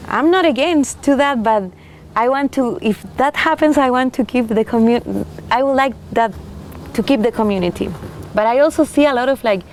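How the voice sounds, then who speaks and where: A young Chilean woman, a winemaker, speaking unhurriedly and haltingly in an oral history interview with soft laughs. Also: a little high, a little hiss or hum. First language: Spanish.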